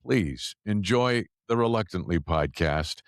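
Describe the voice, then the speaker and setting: A man's voice: sits low, lively intonation, a little slow, no echo, studio quality. A man hosting a podcast and radio show, reading intros and sponsor plugs and chatting casually.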